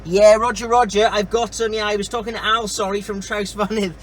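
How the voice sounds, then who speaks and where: A man's voice: high voice, level tone, a little fast. A man running a CB radio net, chatting casually and reading out call signs over the radio. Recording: very noisy CB radio with clicks.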